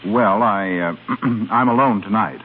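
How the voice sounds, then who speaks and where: An actor playing a suave, teasing secret admirer in a 1930s radio drama, smooth with small hesitations. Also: male voice, on the low side, measured pace. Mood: reserved. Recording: tinny, noisy 1930s radio broadcast.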